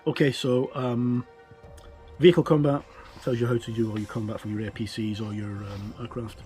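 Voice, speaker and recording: Mellow middle-aged man, casual rambling walkthrough. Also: mid-range voice, little pitch movement, normal speed, soft background music. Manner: rambling, informal explaining with digressions. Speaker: tabletop game reviewer. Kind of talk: casual book look-through commentary.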